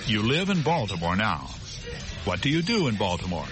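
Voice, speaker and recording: A man's voice: on the low side, big pitch swings, music in the background. A man playing a friendly questioning man. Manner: slow, clear, teacherly questioning. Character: friendly male American conversation partner. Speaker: dialogue actor. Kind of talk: scripted language-course dialogue.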